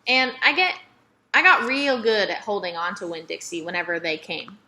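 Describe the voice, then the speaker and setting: A woman narrating a children's novel aloud in an expressive, emphatic storytelling manner. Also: medium pitch, normal speed.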